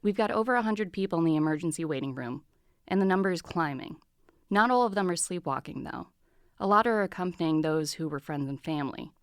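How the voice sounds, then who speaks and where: A woman's voice: lowish voice, animated, fairly quick, no room sound, clean studio sound. A woman playing a harried senior hospital doctor in an audio drama, matter-of-fact and cautious. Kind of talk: dramatic podcast acting.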